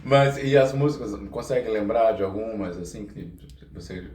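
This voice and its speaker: A man's voice: lowish voice, normal speed, crowd noise. A man with a Brazilian accent hosting a casual podcast interview, often repeating words as he feels out his questions. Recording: echoey room, distant from mic.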